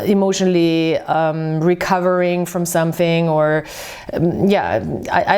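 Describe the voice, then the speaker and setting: Soft-spoken non-native woman reading tarot. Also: on the low side. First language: not English. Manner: soft, musing, reads slowly from a book. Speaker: tarot reader. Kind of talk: casual tarot reading to camera.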